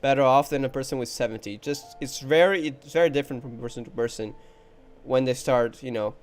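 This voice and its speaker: Chatty gaming streamer, mid-pitched voice. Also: brisk. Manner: chatty, rambling, reacting aloud. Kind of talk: casual playthrough commentary. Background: game music.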